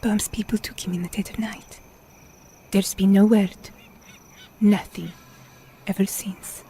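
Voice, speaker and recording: An actress playing a resolute Italian partisan with an Italian accent, speaking softly and earnestly in a game cutscene. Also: female voice, mid-range voice, fairly even intonation, a little slow, a little hiss or hum, close to an everyday voice. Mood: sorrowful, hopeful. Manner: earnest, grave, restrained. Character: resolute, grieving female Italian resistance fighter. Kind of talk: dramatic video game cutscene acting.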